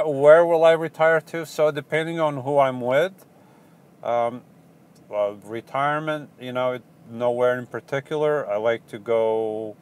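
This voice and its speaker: A man with a Portuguese accent, a van-life vlogger casually answering viewer questions in a halting, rambling way full of ums and restarts. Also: fairly low pitch, a little mellow. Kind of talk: casual live Q&A chat.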